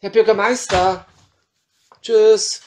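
A man's voice: sits high, steady tone, takes it slowly, a little mellow, a little hiss or hum. A man playing a fawning, hesitant drug dealer. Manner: hesitant, fawning, full of filler sounds. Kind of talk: amateur crime radio play.

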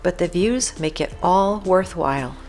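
A woman's voice: lowish voice, animated, a little slow, music in the background. An older woman giving a scripted voice-over for a hiking video, informative and guide-like. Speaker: hiking vlogger narrator.

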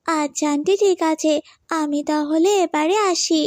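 A woman's voice: high-pitched, fairly even intonation, a little slow, a little mellow, no echo, clean studio sound. A woman voicing an earnest, devout and polite young girl in a children's moral cartoon.

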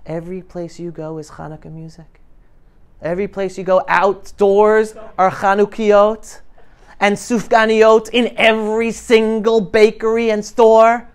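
A middle-aged man giving an impassioned, animated motivational talk to young people, with emphatic delivery. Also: high-pitched, unhurried, clean tone. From New York City, United States. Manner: emphatic, animated, swinging between loud and hushed. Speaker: guest speaker addressing high school students. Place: classroom, indoors. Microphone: lapel mic.

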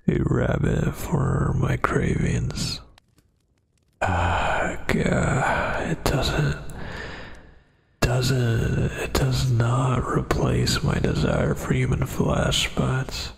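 Male actor as hesitant, brooding werewolf for ASMR roleplay; husky, breathy, extremely creaky, often near a whisper. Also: medium pitch, heavily acted. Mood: hungry, reluctant. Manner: slow, halting, brooding, with long pauses. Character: dominant male werewolf, menacing yet hesitant, fighting hungry cravings.

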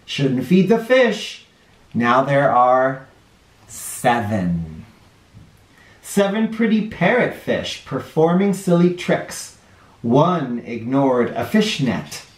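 A man in his forties reading a children's picture book aloud at home, expressive, dropping his voice low on each count. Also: takes it slowly, echoey room. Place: living room with couch and toys, indoors.

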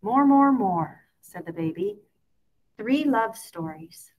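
A woman reads a children's story aloud expressively, in a sing-song way with drawn-out key words. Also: a little high, lively intonation, phone-quality sound. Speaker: picture book reader.

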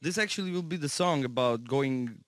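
A man in his thirties from Ukraine with a Ukrainian accent, a touring singer-songwriter speaking in halting non-native English, searching for his words. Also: medium pitch, expressive, no echo, studio quality. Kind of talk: casual interview in English. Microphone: studio microphone.

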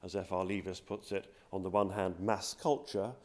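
Low-voiced British man chairing a panel. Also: level tone, normal speed, a little mellow. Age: middle-aged. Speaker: academic host chairing a panel. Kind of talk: formal introduction to a symposium. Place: university lecture hall. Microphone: lectern microphone.

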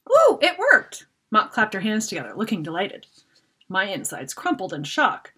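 Husky, animated woman reading a storybook. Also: medium pitch, moderate pace. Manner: animated, dramatic reader. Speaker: author reading from her children's fantasy book. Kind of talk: expressive storybook read-aloud. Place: book-lined room at home.

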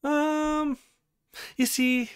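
A man's voice: extremely high pitch, flat delivery, extremely slow, pitched above the performer's own voice, exaggerated voice. A man voicing a playful, easily flustered young woman from a visual novel game. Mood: sheepish.

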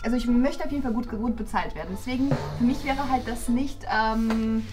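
A woman in her twenties playing a blunt, money-minded job applicant in an improvised comedy sketch, halting and repetitive. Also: on the high side, close to an everyday voice. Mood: impatient. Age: 20s. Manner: halting, repetitive, self-correcting. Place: small bright office room. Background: clear background music.

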